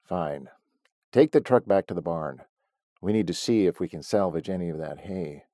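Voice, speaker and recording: A man's voice: sits low, normal speed, warm tone, no room sound, clean studio sound, natural voice. A man voicing a stern, impatient middle-aged ranch boss who talks tersely. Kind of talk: audiobook character voice.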